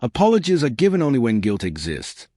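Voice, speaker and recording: A man voicing a weary, dignified older alien bride in a formal, terse, measured way for an audio story. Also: mid-range voice, wildly swooping pitch, dark tone, no room sound, studio quality. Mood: weary, guarded.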